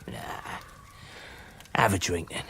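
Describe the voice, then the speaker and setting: A man's voice: takes it slowly, a bit bright, a little hiss or hum. An actor in a radio drama playing a wry, resigned male survivor, speaking in a sardonic, laconic, fatalistic way. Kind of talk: dramatic radio play acting.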